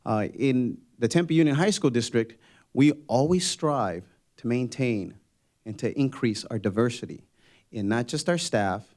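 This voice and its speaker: A man whose first language is Spanish gives firm, emphatic answers at a candidate forum. He repeats key points and leans on short declarations. His voice sits in a middle pitch. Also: lively intonation, a little slow, a little mellow. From immigrant, first language Spanish. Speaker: board candidate.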